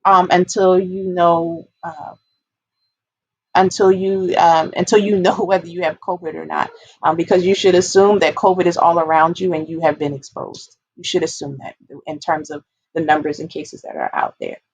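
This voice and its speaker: A woman's voice: fairly low pitch, steady tone, moderate pace, breathy edge, no room sound, muffled recording. A woman giving an informal, explanatory public health presentation, stressing and repeating her key points as an educator.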